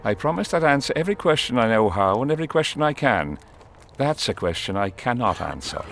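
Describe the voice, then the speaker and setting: An actor playing a plain-spoken, loyal soldier in a fantasy game, measured and folksy. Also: male voice, mid-range voice, big pitch swings, creaky, fairly bright tone, faint music behind. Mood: firm, guarded. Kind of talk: video game dialogue acting.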